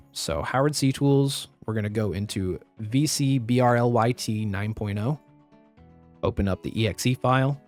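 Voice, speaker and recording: A man with a lowish, slightly raspy voice talks through each step of a computer tutorial in a plain, practical way. His throat is still a bit rough from a recent flu. Faint music plays quietly behind his voice-over. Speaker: tutorial host. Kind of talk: screen-recorded software tutorial.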